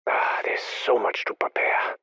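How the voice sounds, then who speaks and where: An actor playing a secretive, obsessive scientist, formal and measured, muttering a research log in a video game. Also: male voice, bright, forceful tone, dry recording. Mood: secretive musing. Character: brooding, secretive, obsessive scientist recording private research logs.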